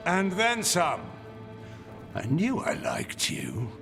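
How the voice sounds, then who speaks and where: A man's voice: fairly high pitch, wildly swooping pitch, slow, vocal fry, over music, heavily acted. An actor playing a tough, vengeful gang member who guards his neighborhood in a fantasy game, with clipped, emphatic delivery. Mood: fierce resolve. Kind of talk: game dialogue acting.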